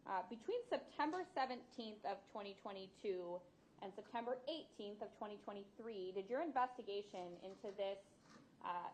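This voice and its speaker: A woman's voice: mid-range voice, moderate pace, a little hiss or hum, low-quality recording. American woman prosecutor questioning in court. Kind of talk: courtroom direct examination.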